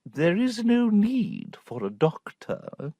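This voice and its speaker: A male narrator voicing a prim, knowing middle-aged gentlewoman aunt, speaking slowly and deliberately with a superior air. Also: sits high, huge pitch swings, dry recording, highs cut off, voice pushed up, full character voice. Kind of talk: audiobook character voice.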